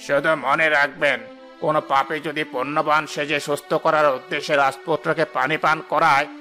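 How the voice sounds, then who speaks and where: A man's voice: on the high side, level tone, fairly quick, sharp tone. A man playing a solemn, respectful adviser to a king in a cartoon fairy tale. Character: solemn, deferential royal adviser. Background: background music.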